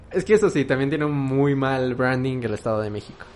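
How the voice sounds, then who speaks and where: A man's voice: medium pitch, expressive, moderate pace, darkish tone, a little hiss or hum. A man with a Mexican accent co-hosting a podcast in casual chat, playful and dropping English phrases. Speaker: podcast co-host.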